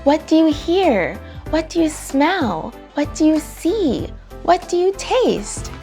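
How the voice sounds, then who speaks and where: A woman reading a picture book aloud for children, with animated, dramatic delivery and drawn-out emphasis on key words. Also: high-pitched, faint music behind. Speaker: children's storytime reader and host. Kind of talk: expressive picture-book read-aloud for kids.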